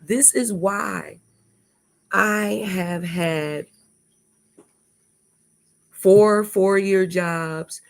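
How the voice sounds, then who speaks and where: Older american woman, livestream host, gravelly fry, unhurried rambling talk. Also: mid-range voice, slight background noise. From United States. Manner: rambling, reflective, lists things in long runs. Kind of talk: casual livestream monologue. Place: indoors at home before dawn.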